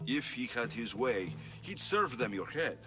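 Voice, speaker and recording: Blunt, wry Greek mercenary hero. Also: male voice, medium pitch, sing-song, measured pace, over music. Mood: businesslike. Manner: dry, blunt, self-assured. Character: tough, wry male mercenary hero of ancient Greece. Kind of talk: dramatic video game acting.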